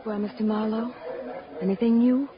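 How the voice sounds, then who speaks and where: An actress playing a wistful, lovelorn married woman in an earnest, halting, emotional 1940s radio drama style, on a muffled old recording. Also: a little high, fairly even intonation, background noise, close to an everyday voice. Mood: tremulous, grateful.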